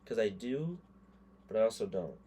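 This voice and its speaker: A hoarse man giving casual gameplay commentary, thinking aloud and muttering quick verdicts as he goes. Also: on the low side, animated, mellow. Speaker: gaming creator.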